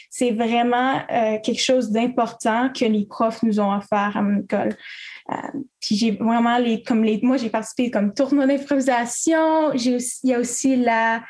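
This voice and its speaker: A woman's voice: on the high side, a little mellow. A young woman from Quebec, answering as a student panelist in a casual, hesitant way with many fillers.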